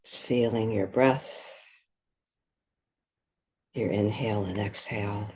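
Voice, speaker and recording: A meditation guide speaks very slowly and gently, leaving long pauses between short phrases. The voice is soft and husky with plenty of breath in it, at a medium pitch, leading a guided meditation. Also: steady tone.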